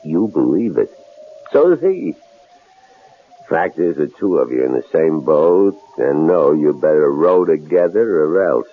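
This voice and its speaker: Deep swooping voice, mocking captor, menacing, sardonic, vintage radio drama, noisy narrowband sound. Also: male voice, expressive, husky, full character voice. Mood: gloating, menacing. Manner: sardonic, taunting, drawn-out needling. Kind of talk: 1970s radio drama acting. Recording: muffled, noisy old radio broadcast.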